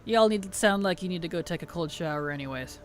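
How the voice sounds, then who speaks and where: A woman game streamer chatting casually and playfully on a livestream, her tired voice starting to weaken and turn gravelly. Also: lowish voice, fast talker, soft background music. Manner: playful, teasing, chuckles often. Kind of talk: casual livestream chat over gameplay.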